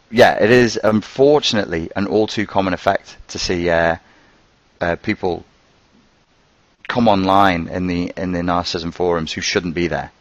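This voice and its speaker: A man with a very low voice and a softened Scouse accent hosts a live question-and-answer stream. He talks in a loose, conversational way, thinking aloud as he goes. His voice sits close and dry, with no room around it. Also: no room sound, dull audio. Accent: toned-down Scouse. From Merseyside, England. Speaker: host answering viewer questions.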